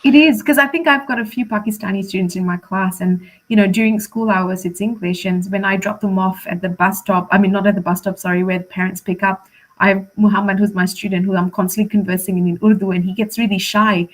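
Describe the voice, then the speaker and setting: A woman from Malaysia with Malay as her first language talks in a casual interview. She has a mid-pitched voice and a chatty, emphatic way of speaking, as a teacher telling about herself. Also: steady tone, quick pace, low-quality recording. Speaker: primary school teacher, interview guest.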